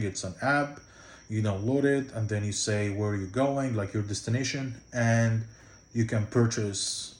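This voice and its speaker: A man's voice: lowish voice, darkish tone, echoey room. A man from Egypt with an Egyptian accent, giving casual travel tips to the camera in a loose, rambling way full of fillers and restarts. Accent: Egyptian Arabic. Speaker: travel vlogger.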